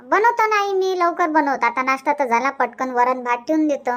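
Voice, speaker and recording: A woman's voice: high-pitched, moderate pace, fairly bright tone, faint music behind. A woman voicing an eager, agreeable young village mother in a cartoon. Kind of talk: cartoon dubbing.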